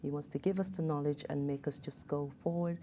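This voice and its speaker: A woman's voice: low voice, fairly even intonation, a little fast, dark tone, slight background noise. A woman with an English accent, hosting a casual interview, affirming and conversational. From England. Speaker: host.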